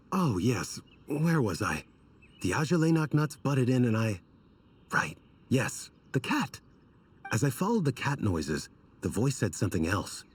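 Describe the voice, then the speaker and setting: A man's voice: on the low side, breathy edge, distant mic, full character voice. A man playing an absent-minded desert dweller in a video game, recounting his tale in a meandering, theatrical way. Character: rambling, absent-minded male desert storyteller.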